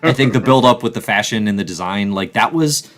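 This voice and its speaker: A man's voice: fairly low pitch, lively intonation, brisk, fairly bright tone. A man hosting a live show, chatting and commenting casually in an enthusiastic, emphatic way.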